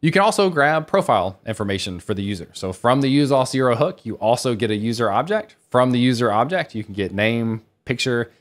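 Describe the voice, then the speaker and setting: A man's voice: mid-range voice, animated, fairly quick, hardly any pauses. A man presenting a technical conference talk in a conversational, reassuring way, explaining things plainly and informally. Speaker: conference speaker, developer teaching web development.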